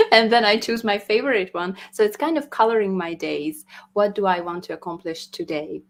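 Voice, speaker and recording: Woman music teacher. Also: medium pitch. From Hungary. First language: Hungarian. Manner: thoughtful, laughs often, slightly halting. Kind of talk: casual interview.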